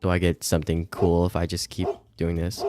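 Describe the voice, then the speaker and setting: Deep-voiced man streaming a game. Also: monotone, brisk, very noisy. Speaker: streamer playing a visual novel. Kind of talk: casual gameplay commentary and reading game text aloud.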